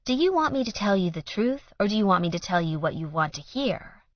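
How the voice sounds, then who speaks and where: A woman's voice: mid-range voice, sing-song, a little fast, no echo, lo-fi sound, close to an everyday voice. A woman voicing a frank, matter-of-fact young best friend who speaks plainly. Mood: blunt.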